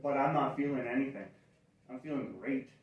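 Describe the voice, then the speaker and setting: A man's voice: mid-range voice, brisk, lo-fi sound. A middle-aged man from Canada chatting casually on a livestream as host, upbeat and rambling, correcting himself as he goes. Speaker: channel host. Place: indoors near a fireplace. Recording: roomy echo, mic far off.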